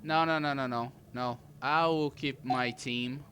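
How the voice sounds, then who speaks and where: A man's voice: mid-range voice, steady tone, a little slow, a little hiss or hum. A man doing casual video game commentary, playfully muttering to himself and echoing game text. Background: loud game music.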